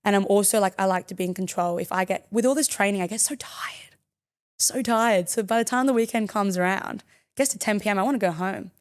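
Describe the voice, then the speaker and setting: A woman's voice: medium pitch, brisk. A young woman born in the United States, chatting as a guest on a podcast in an upbeat, easygoing way and laughing often. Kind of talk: casual interview chat. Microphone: studio podcast mic.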